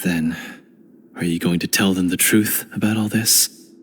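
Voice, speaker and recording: A man's voice: deep voice, steady tone, a little slow, slight background noise. A man voicing a remorseful young male hunter in a game cutscene, speaking in an earnest, hesitant, self-reproaching way and stammering at times. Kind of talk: video game cutscene voice acting.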